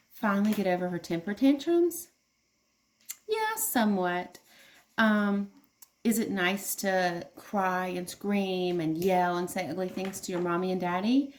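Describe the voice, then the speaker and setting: Slow-talking woman teacher reading to preschoolers. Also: medium pitch, darkish tone, slight background noise. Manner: simple explanations with questions to young children. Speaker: early childhood teacher reading aloud. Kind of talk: children's read-aloud lesson.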